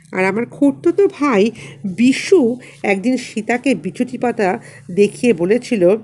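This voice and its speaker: A woman narrating a literary story in an expressive, dramatic reading style. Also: a little high. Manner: dramatic, acts out dialogue. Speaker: audiobook narrator.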